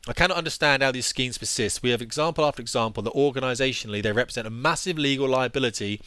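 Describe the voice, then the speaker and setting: A man's voice: medium pitch, very fast, pushed and bright, clean tone, no echo. A man in his forties with a headset mic, ranting indignantly as he comments on articles. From United Kingdom. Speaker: YouTube commentator, former fighter pilot. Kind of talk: opinionated commentary over on-screen articles.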